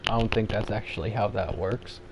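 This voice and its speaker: A man's voice: fairly low pitch, steady tone, brisk, very noisy. A man with a Canadian accent chatting casually on a livestream, rambling with lots of fillers and restarts as he answers viewers. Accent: Canadian English. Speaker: streamer answering chat.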